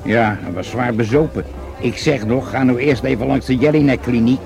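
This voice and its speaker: Man acting a gravelly, chatty shopkeeper. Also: medium pitch, moderate pace, over music, close to an everyday voice. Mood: amused. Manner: colloquial, wry storytelling. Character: chatty, amused male fishing-tackle seller.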